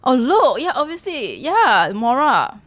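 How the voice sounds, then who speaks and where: A young woman giving excited, exclaiming reaction commentary as host, close to a desk microphone in a small room. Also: high voice, measured pace, slight background noise. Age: young adult. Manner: animated, giggly, exclaiming. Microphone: desk microphone close to her.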